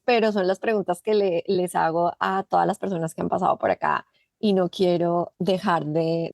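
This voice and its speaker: A woman hosting an interview podcast, speaking in a gracious, measured way. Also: medium pitch, gravelly, darkish tone, no room sound, clean studio sound. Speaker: podcast host.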